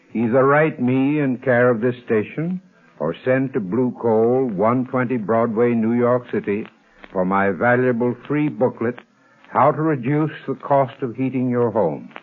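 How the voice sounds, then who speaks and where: Man doing an earnest ad read, tinny old radio sound. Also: mid-range voice. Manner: earnest, persuasive. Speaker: sponsor's spokesman.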